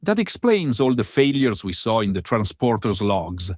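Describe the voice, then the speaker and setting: A man playing an analytical crewman who reasons aloud deliberately in a sci-fi audio drama. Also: lowish voice, very animated, darkish tone, no echo, studio quality. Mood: reasoning. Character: analytical male crewman reasoning through a puzzle.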